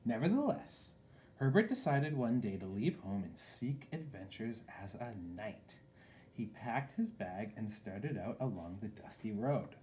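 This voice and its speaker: A man's voice: fairly low pitch, very animated, a little slow, dark tone, slight background noise, poor audio. A man reading a picture book aloud expressively, with casual asides to a young listener.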